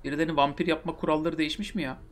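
A man's voice: on the high side, steady tone, racing. A man in his thirties reading a visual novel aloud on a live stream with joking asides, into a headset mic. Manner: joking asides between narration. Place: home streaming room.

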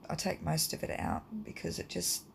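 A woman with a croaky voice from hay fever, teaching a drawing lesson in a relaxed, rambling way with many ums. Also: fairly low pitch, moderate pace, slight background noise. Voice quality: croaky, gravelly. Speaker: art teacher. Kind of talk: casual drawing tutorial voice-over.

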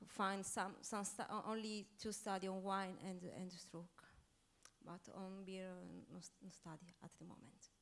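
Woman researcher on a panel, monotone, stops and starts a lot, hall mic. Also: mid-range voice, gravelly. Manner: halting, many fillers, non-native phrasing. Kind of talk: panel discussion answers. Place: large conference hall stage. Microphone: handheld microphone.

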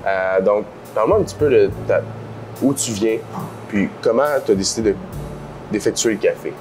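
A man's voice: fairly low pitch, moderate pace, music in the background, rough recording. A man in his thirties with a Quebec French accent, casually hosting an interview in informal, colloquial speech. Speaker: host, real estate broker. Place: indoor café. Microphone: lapel mic.

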